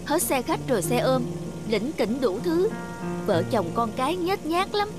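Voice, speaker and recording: A woman's voice: high voice, sing-song, a little slow, over music. A woman voicing a thrifty young wife and mother in a cartoon, grumbling and fussy. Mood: complaining. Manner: complaining, fussy. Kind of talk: cartoon voice acting.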